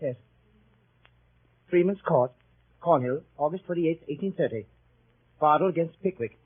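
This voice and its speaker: Husky, high-voiced formal letter reader. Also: male voice, measured pace. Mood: formal, grave. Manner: precise, formal reading. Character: grave man reading a legal letter aloud. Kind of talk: 1930s radio acting. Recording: muffled lo-fi old radio recording.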